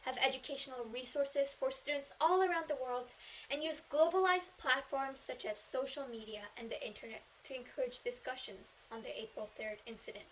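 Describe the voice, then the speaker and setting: A woman's voice: high voice, a little fast, a little hiss or hum, low-quality recording. A Korean teenage girl, a native Korean speaker, delivering an earnest memorized speech in English at a student contest, stressing key phrases. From South Korea. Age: teens.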